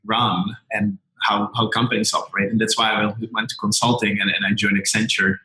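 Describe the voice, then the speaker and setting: A man's voice: fairly low pitch, flat delivery, a little fast, pushed and bright, roomy sound, poor audio. A man of about forty from the Czech Republic with a Czech accent, talking conversationally as an interview guest and filling his stories with "you know" and "like". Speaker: interview guest, tech entrepreneur.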